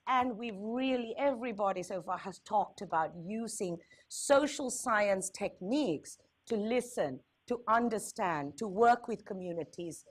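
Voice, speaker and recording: A woman with a Sri Lankan accent presenting at a conference, candid and wry, stressing points with repetition and checking in with short tag questions. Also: medium pitch. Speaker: health agency speaker, risk communication expert.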